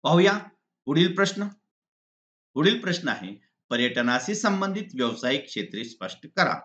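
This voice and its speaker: A man teaching in a deliberate, didactic way, reading exam answers point by point. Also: fairly high pitch, very animated, fairly bright tone. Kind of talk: exam answer walkthrough, lesson read aloud. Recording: slightly dull lo-fi recording.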